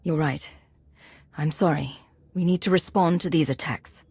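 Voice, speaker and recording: An actress playing an earnest, idealistic commander in a video game, urgent and determined. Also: female voice, deep voice, measured pace, creaky, darkish tone, breathy edge, dry recording, full character voice.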